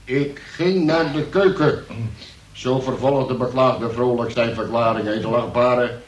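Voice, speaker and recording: An actor playing a gloomy, resentful son who narrates a radio drama in a wry, hesitant confessional way. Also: male voice, mid-range voice, fairly even intonation, measured pace, reverberant, far from the mic, natural voice, poor audio. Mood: gloomy, sardonic. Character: gloomy, resentful son tied to his invalid mother, narrating. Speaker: narrator and lead character.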